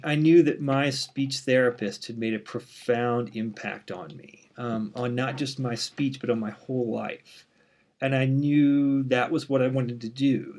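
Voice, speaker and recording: A university instructor, a man in his thirties, with a voice of ordinary middle pitch. He talks casually and candidly, often pausing on "um", and now and then catches briefly on a word. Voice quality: mild stutter. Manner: relaxed, frequent "um" fillers, candid. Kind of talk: casual course introduction.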